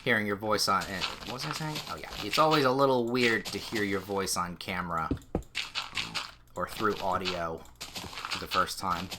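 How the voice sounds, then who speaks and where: A man with a nasal, slightly whiny voice that still sits low in pitch talks his way through a game, thinking aloud and poking fun at himself. His casual streaming chatter comes from a desk mic. Heavy background noise and clicking keys run under his voice. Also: moderate pace. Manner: rambling, self-deprecating, thinking aloud. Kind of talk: casual gameplay commentary. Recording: loud noise with keyboard clicks.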